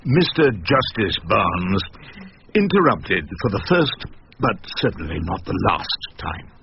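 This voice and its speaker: An actor playing a wry, stubborn older English defence barrister in a radio drama, speaking in a droll, theatrical, measured way. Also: male voice, low-pitched, sing-song, full character voice. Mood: wry, reflective. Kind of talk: radio drama narration and courtroom acting. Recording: dull radio recording, faint noise, reverberant courtroom.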